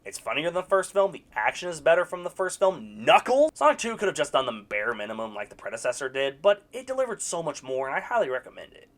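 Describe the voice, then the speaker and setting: Lively sarcastic young movie reviewer. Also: medium pitch, fast talker, few pauses. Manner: sarcastic, animated, blunt verdicts. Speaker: video reviewer. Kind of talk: scripted movie review commentary.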